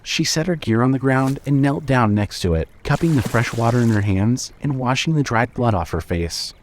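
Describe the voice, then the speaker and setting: A man narrating scripted fiction as a storyteller, in a measured way. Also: mid-range voice, lively intonation, slight background noise.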